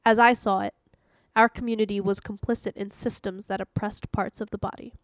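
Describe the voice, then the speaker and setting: A woman's voice: medium pitch, flat delivery, fairly quick, dry recording. A woman hosting and narrating a scripted devotional reading. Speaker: host reading daily devotionals.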